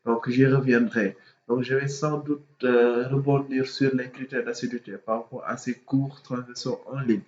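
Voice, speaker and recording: A man talks students through procedures, his voice stuffy from a cold. He speaks in a halting way, with lots of fillers and restarts. The recording is dull and lo-fi, with a roomy echo. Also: mid-range voice, flat delivery, a little mellow. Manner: hesitant, many fillers and repetitions. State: has a cold, congested voice. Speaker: student mentor. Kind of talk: informal explanatory video.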